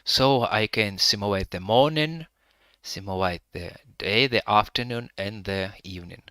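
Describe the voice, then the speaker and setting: A man with a Russian-Ukrainian accent leads a live virtual tour in slow, hesitant English, often pausing and filling gaps as he searches for words. His voice is bright and rather low, recorded close and dry with no room sound. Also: no room sound. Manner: halting non-native English with frequent fillers.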